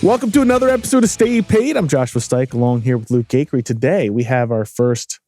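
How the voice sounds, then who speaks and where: A man's voice: medium pitch, animated, fast, dark tone, soft background music. A man co-hosting a sales podcast, upbeat as he reads reviews and guest introductions. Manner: upbeat, reads promos and bios. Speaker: podcast co-host.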